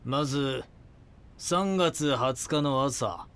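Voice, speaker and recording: An actor playing a grandiose, coldly fanatical cult leader who preaches solemnly and politely in an audio drama. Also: male voice, mid-range voice, extremely slow, a bit bright, clean tone. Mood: grandiose, prophetic. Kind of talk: Japanese audio drama acting.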